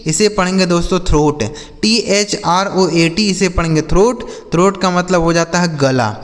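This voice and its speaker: High-voiced man teaching English words. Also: moderate pace, big-room sound. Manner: spells words letter by letter and repeats each meaning. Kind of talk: slow spelled-out vocabulary lesson.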